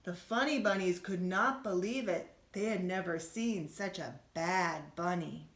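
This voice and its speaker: A woman with a slightly low voice reads a children's storybook in a roomy, echoing space. She is expressive and speaks directly to young listeners, gently putting questions to them as a teacher would. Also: unhurried. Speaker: schoolteacher reading a bedtime story. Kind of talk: children's storybook read-aloud with asides to listeners. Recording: roomy, echoey room sound.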